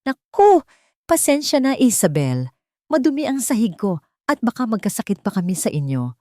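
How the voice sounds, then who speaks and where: Woman acting snide neighbor woman, shrill, scornful, sharp and high, dry close sound. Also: very animated, normal speed, dry recording. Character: snide gossiping middle-aged female neighbor.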